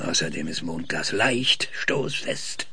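Gravelly, animated older eccentric scientist. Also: male voice, low voice, slow, no room sound, exaggerated voice. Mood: insistent, disdainful. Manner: hesitant, insistent, professorial. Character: eccentric older male scientist, grumbling, suspicious, obsessed. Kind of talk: naturalistic German radio drama dialogue. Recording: clearly noisy, birdsong behind.

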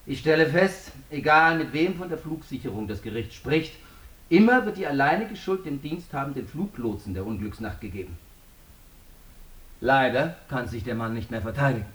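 An actor playing a stern, insistent courtroom examiner in a German radio drama, formal and probing. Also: male voice, medium pitch, expressive, normal speed, a bit bright, roomy sound, natural voice, low-quality recording. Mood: stern, accusing.

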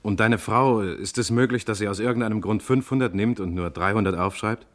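Male actor, police detective, deep register, measured questioning, polite, composed, 1950s radio drama style. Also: lightly acted. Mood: sober, probing. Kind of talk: 1950s German radio crime drama acting.

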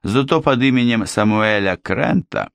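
A man voicing a composed, polite male lawyer who speaks in a measured, firm way. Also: mid-range voice, expressive, vocal fry, no echo, clean studio sound, pitched above the performer's own voice. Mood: calm. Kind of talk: audiobook character voice.

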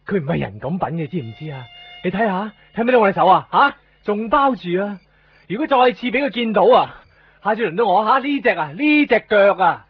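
Anxious, exasperated young man, highish voice. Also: lively intonation, brisk, breathy edge, heavily acted. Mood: agitated. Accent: Hong Kong Cantonese. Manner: exasperated, emphatic, repeats himself. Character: anxious, evasive young man hiding from a clinging ex. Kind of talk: 1990s Cantonese radio drama acting. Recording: muffled 1990s radio drama over music.

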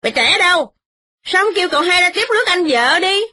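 A woman voicing a spiteful young wife who nags and mocks in a sharp, sarcastic tone. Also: sits high, expressive, moderate pace, voice pushed up.

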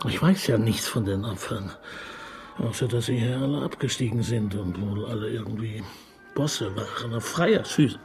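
A man's voice: on the low side, moderate pace, darkish tone, soft background music, natural voice. A man playing a bumbling, cultured police detective in a radio crime comedy, with a hesitant, wordy way of talking. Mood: musing. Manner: hesitant stammering, fussy and wordy.

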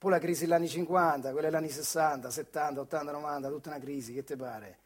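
An actor playing a bitter, weary retired factory worker in a theatrical monologue, with a central Italian accent from the Marche, swinging between muttering and outbursts. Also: male voice, a little high, fairly even intonation, fast, natural voice. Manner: colloquial, rhetorical questions, swings from muttering to outbursts. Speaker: stage actor. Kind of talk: theatrical monologue in dialect-tinged speech.

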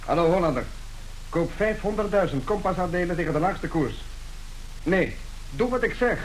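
A man's voice: high-pitched, lively intonation, normal speed, rough recording. An actor in a radio play playing the brash, scheming young son of a rich businessman. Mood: urgent. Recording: old radio play with faint noise.

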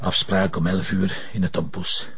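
An actor playing a hot-tempered, anguished police commissioner with a Flemish accent and a hoarse voice, curt and bitter. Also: male voice, low-pitched, flat delivery, moderate pace, very noisy. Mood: grim, restrained. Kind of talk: TV crime drama acting.

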